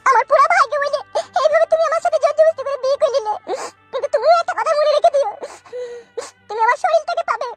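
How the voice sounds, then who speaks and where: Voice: very high-pitched, fast talker, over loud music. A performer voicing a tearful, resentful young woman forced to be a bride. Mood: tearful, bitter. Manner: reproachful, dramatic. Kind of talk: melodramatic comic cartoon dubbing.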